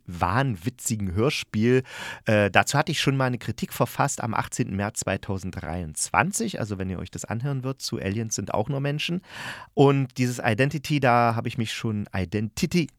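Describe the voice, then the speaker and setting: A man's voice: lowish voice, no echo, studio quality. A man hosting a review show, speaking casually and chattily to listeners, thinking aloud and correcting himself as he goes. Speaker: host of an audio drama review show.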